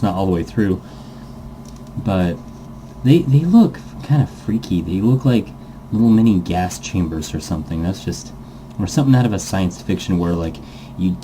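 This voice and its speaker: Male gaming host, deep and relaxed, rambling casual delivery, faint room hum behind him. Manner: rambling, thinking aloud. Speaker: host of a visual novel playthrough series. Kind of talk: casual gaming commentary mixed with reading game text aloud.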